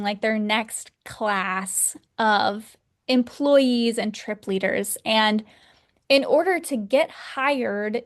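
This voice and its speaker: Higher-voiced woman telling her story. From Texas. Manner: relaxed, rambling storyteller. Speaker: podcast guest. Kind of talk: casual interview.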